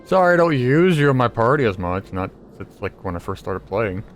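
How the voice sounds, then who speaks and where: A man with a medium-pitched voice chats casually as he plays a video game. He reads and reacts in an offhand gamer style. Game music is clearly heard behind him. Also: big pitch swings, brisk. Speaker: gamer reading and commenting over gameplay. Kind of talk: casual gameplay commentary.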